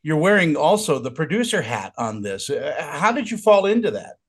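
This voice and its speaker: A man's voice: a little high, very animated, normal speed, husky. A man interviewing a guest, enthusiastic and complimentary as he asks his questions.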